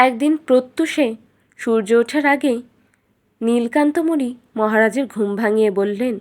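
A woman's voice: fairly high pitch, moderate pace. A woman reading scripted narration as the storyteller of a children's fairytale.

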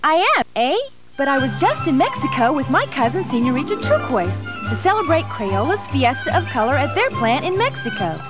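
A woman's voice: high-pitched, sing-song, moderate pace, over loud music. A woman voicing a cheerful, sporty Canadian crayon with a Canadian accent and a bubbly, chirpy delivery.

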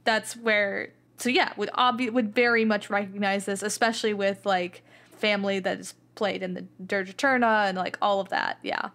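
A woman's voice: medium pitch, fairly even intonation, moderate pace, a bit bright. A woman playing a tabletop game, chatting informally and thinking aloud about her character. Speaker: player. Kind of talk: casual chat in a tabletop game.

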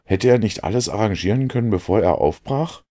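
A man voicing an earnest, questioning gentleman companion to a detective in an audiobook, polite and emphatic. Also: fairly low pitch, expressive, a little fast, pitched above the performer's own voice. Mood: earnest, doubting.

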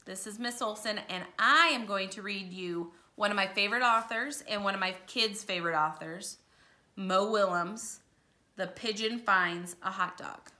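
A woman's voice: medium pitch, moderate pace, sharp tone. A woman in her thirties speaking as a preschool teacher presenting a picture book read-aloud to young children. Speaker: preschool teacher reading to pupils. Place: living room couch, indoors. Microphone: camera a short distance away.

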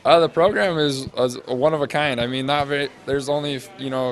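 A man in his twenties, a student interviewee, explaining a project off the cuff in casual, halting phrases into a handheld mic. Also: medium pitch, fairly bright tone. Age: 20s. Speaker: interviewee, senior engineering student presenting a project. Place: large glass-walled university hall or lobby. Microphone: handheld interview microphone held out to him.

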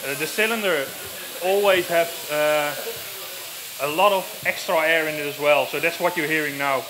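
Dutch guy on a headset mic in a big tent, slightly high voice, lots of ums. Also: moderate pace, noisy background. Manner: plain explanatory, many fillers. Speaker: safety equipment rep. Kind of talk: live stage demonstration. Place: large marquee at outdoor show.

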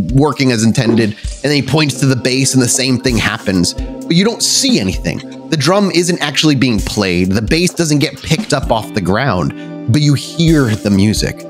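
Male game master with music behind. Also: medium pitch. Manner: descriptive, dramatic storytelling mixed with casual rules talk. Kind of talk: narrating a tabletop role-playing game.

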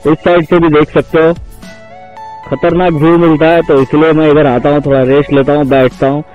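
A young man talks casually as he rides a motorcycle along country roads, calling his viewers "guys" again and again. His voice sits high. The sound is thin and phone-like, with music playing clearly underneath. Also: level tone, fairly quick. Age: young adult. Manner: chatty, keeps saying "guys", pleads for support. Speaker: motovlogger. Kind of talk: casual ride commentary. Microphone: action camera on the bike.

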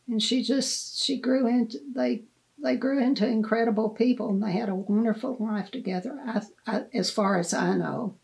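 An older woman in a documentary interview, reflecting slowly and gently on family memories. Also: medium pitch, vocal fry, poor audio. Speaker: interviewee, mother-in-law. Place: indoors in leather armchair.

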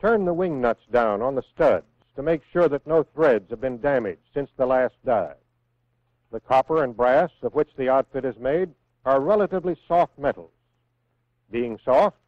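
An American man reading scripted instructions in a measured, clearly enunciated way, as the narrator of a 1960s military training film. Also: mid-range voice, no room sound. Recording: phone-like vintage 1960s film soundtrack.

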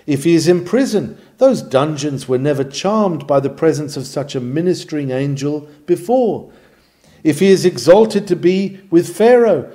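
A man reading an old sermon aloud in a measured, emphatic, oratorical manner. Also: a little high, mellow. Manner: emphatic, measured, formal delivery. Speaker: reader of a historical sermon.

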